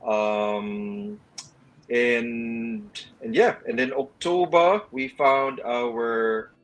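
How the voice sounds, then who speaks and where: Slow, hesitant male franchisee. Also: medium pitch, highs cut off. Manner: hesitant, many fillers. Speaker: guest, storage franchisee. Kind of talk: casual video-call interview. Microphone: video call.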